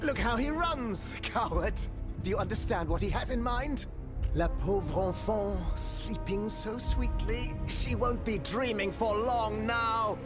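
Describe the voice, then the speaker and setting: A gloating male villain in a video game, pitched high for a man. He taunts theatrically, with loud music playing over him. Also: unhurried. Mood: mocking. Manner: mocking, theatrical.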